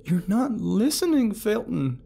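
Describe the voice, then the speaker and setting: A woman's voice: very animated, a little slow, gravelly, mellow, slight background noise. A man voicing a defiant, frantic young woman who curses shrilly, over faint music. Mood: urgent. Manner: shrill and cursing.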